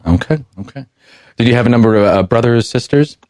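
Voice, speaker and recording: A man's voice: medium pitch, a little fast, darkish tone, dry recording, studio quality. A man hosting a podcast, introducing and interviewing a guest. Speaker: podcast host. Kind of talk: scripted intro and interview questions.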